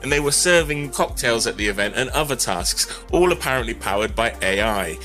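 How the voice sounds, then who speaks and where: A man delivers conversational tech news with a bright, driving voice at a medium pitch. He is wry and opinionated as he explains the stories. Light music plays underneath. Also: lively intonation, brisk. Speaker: technology news commentator. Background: background music.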